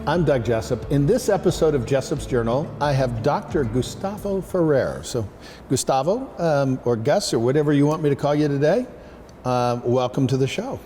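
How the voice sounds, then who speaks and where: A male host chatting through an interview in a loose, casual way. He fills pauses with "um" and "you know" and asks friendly questions. Soft music plays faintly under his voice. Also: medium pitch, expressive. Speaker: talk show host.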